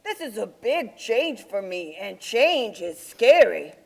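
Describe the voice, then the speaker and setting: Lady doing anxious puppet yeti, slow n gravelly, very expressive, echoey hall. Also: on the high side, heavily acted. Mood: worried, grumbly. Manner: exaggerated, expressive. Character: anxious, fussy yeti who loves his roses and solitude. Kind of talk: children's puppet show acting.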